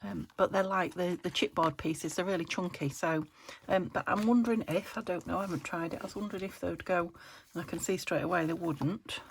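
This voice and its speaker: Middle-aged woman with a cold, low gravelly voice, chatty crafting vlog on her phone, bit of hiss. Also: a little fast, hardly any pauses. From England. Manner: chatty, thinks aloud with tag questions. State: croaky from a summer cold. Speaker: craft vlogger. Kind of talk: casual crafting chat. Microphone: phone camera.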